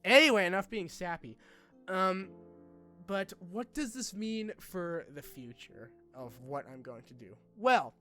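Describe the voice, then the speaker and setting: A young man with a slightly high voice talks casually to his online followers. He rambles and halts, rephrasing in everyday slang as he goes. Faint music plays behind him. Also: lively intonation, sharp tone. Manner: halting, rambling, colloquial. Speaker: online video creator. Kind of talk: informal personal vlog, talking to subscribers.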